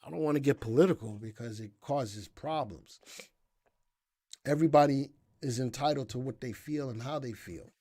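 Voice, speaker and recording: A man's voice: medium pitch, moderate pace, dark tone, no echo, studio quality. A man hosting a live market show, losing his voice, chatting in a folksy, rambling way and reading out viewer comments. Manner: folksy, rambling, thinks aloud. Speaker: market analysis show host.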